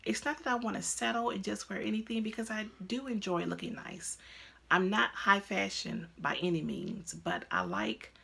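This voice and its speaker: A woman's voice: medium pitch, lively intonation, moderate pace, gravelly, sharp tone, husky. A woman in her fifties hosting a crafting podcast, chatting casually at home with lots of ums and restarts. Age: 50s. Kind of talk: casual chat to camera. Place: at home, indoors.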